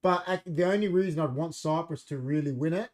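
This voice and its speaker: A man's voice: fairly high pitch, brisk, darkish tone. A young man co-hosting a casual podcast, emphatic and swearing freely as he argues about football. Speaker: podcast co-host. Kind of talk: casual chat.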